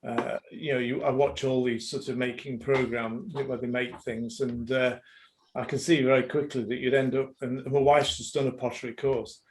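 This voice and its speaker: A middle-aged man hosts a live-streamed business interview, asking questions and relaying viewer comments from the chat. He talks in a friendly but halting way, full of ums and you-knows, at a mid-range pitch. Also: flat delivery, a little hiss or hum, rough recording. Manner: halting, friendly, many fillers. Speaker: host of a live business showcase.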